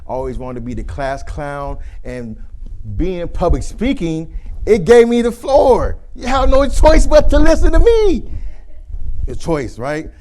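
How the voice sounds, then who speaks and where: A man in his thirties with a deep voice, giving a casual workshop talk on communication and acting out flat and lively greetings as examples. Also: measured pace, slight background noise, rough recording. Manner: conversational, demonstrates monotone versus lively greetings. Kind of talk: casual presentation with demonstrations.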